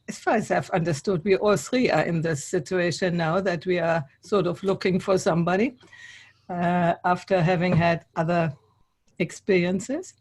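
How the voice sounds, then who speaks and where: A woman hosting an interview on a video call, speaking English as a second language and sometimes searching for words. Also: lowish voice. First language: not English. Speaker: host.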